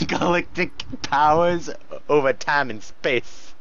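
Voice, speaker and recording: A man's voice: a little high, slow pace, a bit bright. A man co-reading fan fiction aloud in a joking style, giggly and often stumbling over the text. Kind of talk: joking read-aloud with casual asides. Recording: muffled, noisy home recording.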